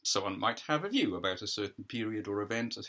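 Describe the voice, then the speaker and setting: A man's voice: on the low side, expressive, fast, pushed and bright, voice pushed down. A man playing a defiant, aggrieved history teacher on trial in a play, with an earnest, lecturing manner.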